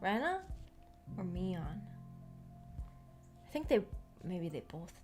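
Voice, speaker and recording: A woman in a casual, rambling discussion as a streamer, animated and giggly, often hesitantly thinking aloud. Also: fairly low pitch, slow pace. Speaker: streamer hosting a visual novel book club discussion. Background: background music.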